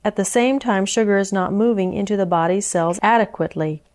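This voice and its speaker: A woman presenting scripted health information in a measured, explanatory manner. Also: medium pitch, darkish tone.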